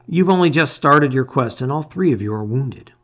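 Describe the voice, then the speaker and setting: A man narrates an adventure book in a bright, medium-pitched voice. His delivery is emphatic and expressive, slipping into casual asides, and the recording is a little lo-fi. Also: normal speed. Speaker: host reading a gamebook aloud.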